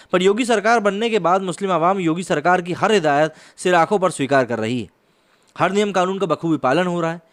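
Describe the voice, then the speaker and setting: Male commentator, notably high voice, emphatic and rhetorical, presenting scripted news analysis.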